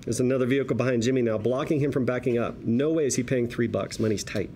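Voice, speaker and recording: Guy reading script directions out loud, medium pitch, wry n chatty, faint room noise. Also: fast talker. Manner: wry, conversational. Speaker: stage-direction reader at a table read. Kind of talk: lively screenplay narration. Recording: noisy room ambience.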